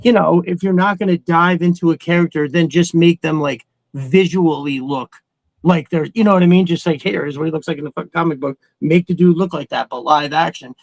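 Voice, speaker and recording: A man's voice: a little high, steady tone, brisk, no echo, rough recording. A man chatting casually and emphatically as a podcast co-host, swearing freely and rambling through his opinions. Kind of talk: casual opinionated fan chat.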